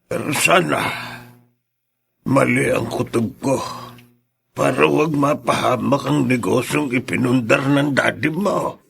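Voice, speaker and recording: An actor playing a kindly, worried elderly grandfather in a radio drama, with a gravelly, husky voice and a halting manner. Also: mid-range voice, a bit bright, heavily acted. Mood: uneasy.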